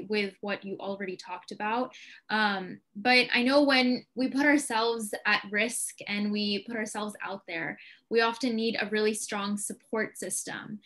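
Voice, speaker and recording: A woman hosts a live webinar over a video call. She reads prepared questions to her guest, with frequent "um" pauses, at a mid pitch. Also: fairly bright tone. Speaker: webinar moderator.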